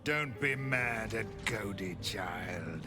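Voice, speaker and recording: An actor playing a cruel undead kennel master in a video game, taunting and creepily servile. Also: male voice, fairly low pitch, expressive. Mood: gloating, taunting. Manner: drawn-out, creepy, taunting, speaks of himself in third person. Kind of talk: video game villain acting. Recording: game music under the voice.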